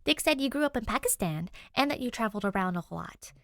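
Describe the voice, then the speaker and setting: A woman's voice: on the high side, animated, quick pace, a bit bright, no echo, clean studio sound. A woman voicing a friendly, curious young girl in a story, speaking directly and eagerly.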